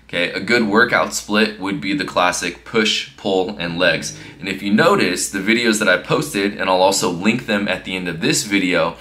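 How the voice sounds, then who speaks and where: A man's voice: on the low side, pushed and bright, slight background noise. A man in his thirties giving casual workout instruction in an upbeat coaching tone, from a living room and then a gym. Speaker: fitness vlogger.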